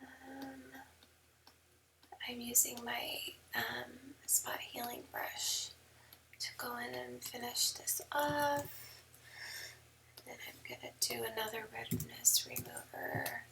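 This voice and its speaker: A woman photographer giving a relaxed editing tutorial, hoarse and half whispering because her voice is going, with many ums. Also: on the high side, takes it slowly, pauses often, far from the mic, rough recording. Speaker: newborn photographer. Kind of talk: screen-recorded editing tutorial. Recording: mic set back, faint background noise.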